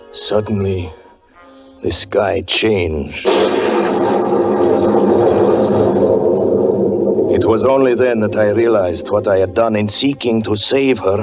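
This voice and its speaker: An actor playing a haunted, doom-laden fortune teller, narrating gravely and haltingly in 1940s radio drama style. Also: male voice, on the low side. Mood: dread. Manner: grave, halting, melodramatic delivery. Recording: muffled 1940s radio recording with music.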